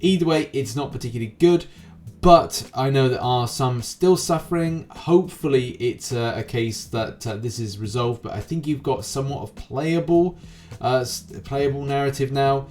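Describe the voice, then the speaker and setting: A man's voice: medium pitch, moderate pace, a little mellow. A man hosting a gaming news show, giving wordy, rambling commentary in a presenter's style. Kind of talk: YouTube gaming news commentary, semi-scripted. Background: background music.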